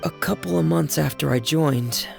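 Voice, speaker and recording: An actor playing a somber, earnest young man in a video game, speaking in a measured, reflective way. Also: a little high, gravelly. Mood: grave. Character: somber soft-spoken young man, orphan raised in harsh house. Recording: game audio under music.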